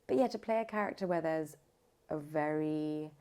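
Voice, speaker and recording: A woman's voice: low voice, moderate pace, dark tone, clear, resonant tone. A woman speaking casually as an interview guest, emphatic and often rephrasing as she goes. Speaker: voice actor, audiobook narrator, podcast guest.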